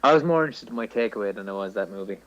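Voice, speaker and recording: A man's voice: lowish voice, animated, rapid-fire, dry recording, lo-fi sound. An Irish man in casual film-review chat as a podcast co-host, opinionated and sweary.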